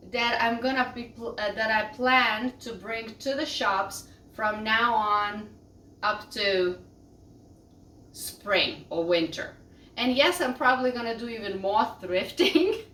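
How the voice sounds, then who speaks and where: Woman vlogger, voice rough from a cold, loud and rambling, slightly high, recorded from across an echoey room. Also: slight background noise. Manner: loud, rambling, self-deprecating. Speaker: vlogger and small business owner. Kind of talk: casual chatty vlog. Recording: roomy echo, distant mic.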